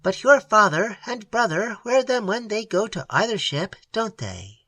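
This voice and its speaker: A reader playing a brusque, confident old schemer in an audiobook, talking in a dismissive, blustering way. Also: female voice, mid-range voice, sing-song, measured pace, sharp tone, no echo, voice pushed up, full character voice. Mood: scheming.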